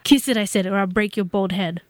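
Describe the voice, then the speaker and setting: A woman's voice: mid-range voice, brisk, darkish tone, no echo, natural voice. A woman voicing a brash, lustful Russian soldier in a comic skit, with a Russian accent and a commanding, melodramatic delivery. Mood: bossy, lustful. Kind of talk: comic role-play skit.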